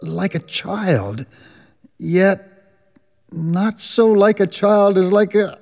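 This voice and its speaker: A man narrating a radio drama as a storyteller, measured and expressive. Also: high voice, creaky. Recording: music bed behind narration.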